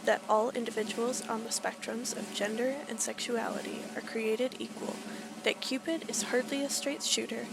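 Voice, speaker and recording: Female monologue performer, somewhat high pitch, gravelly creak, scripted declaration. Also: fairly even intonation, normal speed, background noise.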